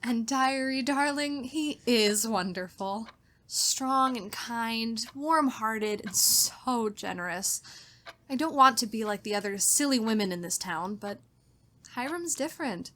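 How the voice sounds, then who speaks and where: An actress playing a shy, excitable, romantic spinster in her forties, breathless and girlish, in old-time radio drama style. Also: on the high side, a little slow. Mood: smitten. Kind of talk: 1940s radio acting, diary narration and dialogue.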